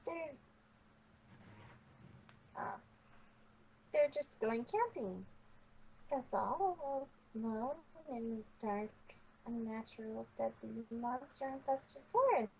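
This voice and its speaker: A woman's voice: on the high side, takes it slowly, gravelly, long pauses, warm tone, lo-fi sound. A woman voicing an anxious female unicorn pony muttering to herself in a story reading. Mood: apprehensive. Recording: noticeable background noise.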